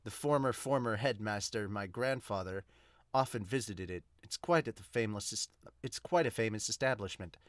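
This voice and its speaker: A man acts out a stern young headmistress in a manga dub. The delivery is low, hushed and measured, with formal authority and a dry sound. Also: dry recording, close to an everyday voice. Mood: grave.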